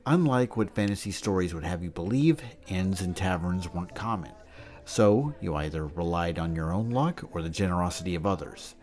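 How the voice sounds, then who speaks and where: A man playing a learned character who patiently explains old myths and customs in a measured, didactic way, in an audio drama. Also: deep voice, animated, darkish tone. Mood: patient, instructive.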